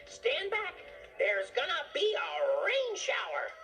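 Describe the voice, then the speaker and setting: A woman's voice: high voice, big pitch swings, takes it slowly, gravelly, a bit bright, far from the mic, faint music behind, voice pushed up, exaggerated voice. A woman voicing a little boy toy creature, a boastful and eager young inventor, in a children's storybook. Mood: proudly excited.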